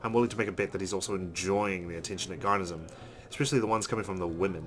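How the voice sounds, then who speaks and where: A man hosting a let's play, reading a visual novel's narration aloud in an expressive storytelling way. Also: low-pitched, very fast, noisy background. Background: background music with guitar.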